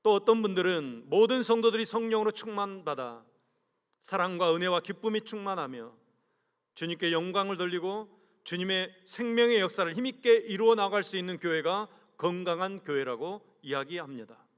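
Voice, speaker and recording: A man, a senior pastor, preaching a sermon earnestly, asking the congregation rhetorical questions and letting sentence ends fall away low. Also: clean tone. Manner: measured delivery, trails off low at sentence ends. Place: large church sanctuary. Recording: long reverb from a church hall.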